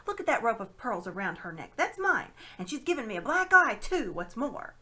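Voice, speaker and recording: An outraged, portly male shopkeeper from a storybook, acted out by a woman reader. The voice is shrill with indignation and very loud, swinging up and down as it demands justice. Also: sing-song, moderate pace, breathy edge, exaggerated voice. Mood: outraged, indignant. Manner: shouting indignantly.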